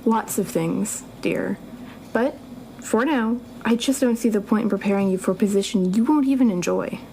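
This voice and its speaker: An actress playing a kindly but firm professor in an audio drama, gentle and measured. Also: female voice, medium pitch, slight background noise, natural voice, low-quality recording. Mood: gently firm.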